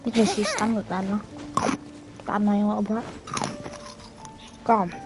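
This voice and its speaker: A woman's voice: medium pitch, steady tone, unhurried. A young adult woman giving casual, chatty gameplay commentary with informal asides. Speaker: gaming video creator. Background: game music.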